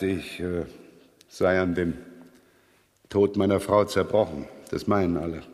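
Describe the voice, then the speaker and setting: An actor playing a middle-aged police inspector in a radio crime drama, weary, gruff and dryly sardonic. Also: male voice, lowish voice, big-room sound, natural voice. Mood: bitter, confiding. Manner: dry, grumbling, wry. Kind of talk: 1970s German radio crime drama acting.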